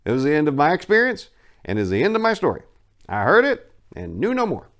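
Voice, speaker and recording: A man playing a haunted survivor recounting a horror, theatrical and halting, swelling from hushed to anguished. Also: fairly high pitch, wildly swooping pitch, pitched above the performer's own voice. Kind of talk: dramatic horror story reading.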